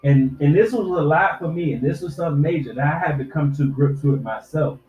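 A man's voice: a little high, brisk, a little mellow. A man in his forties, a podcast co-host, lecturing younger people with profane life advice in casual chat. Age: 40s. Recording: roomy, dull lo-fi sound.

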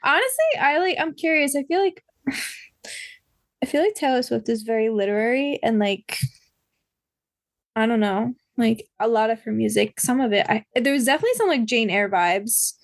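Hoarse, rambling woman podcast co-host. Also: on the high side, lively intonation, moderate pace, dry recording, studio quality. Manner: rambling, heavy with fillers. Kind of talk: casual chat.

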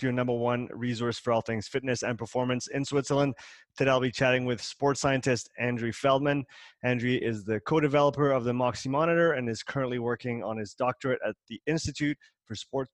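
A man's voice: mid-range voice, no room sound. A man hosting a fitness podcast, introducing his guest and asking questions in a friendly way with small restarts. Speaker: podcast host. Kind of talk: interview hosting.

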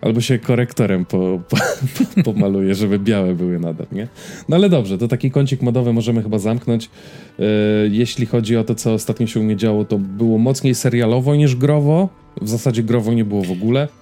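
Mellow-voiced man in casual, rambling chat. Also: on the low side, a little fast, soft background music. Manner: rambling, hesitant, repeating words as he goes. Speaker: podcast host. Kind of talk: casual chat about personal habits and series.

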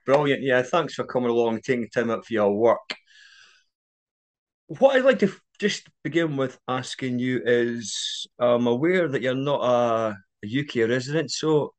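A man interviewing a guest, asking friendly, halting questions. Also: medium pitch.

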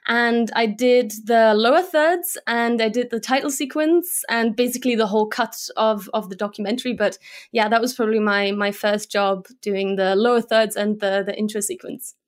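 A woman's voice: a little high, steady tone, normal speed. A young adult woman from Slovakia with an English accent, chatting casually as an interview guest, with many fillers and restarts. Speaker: guest, motion graphics designer.

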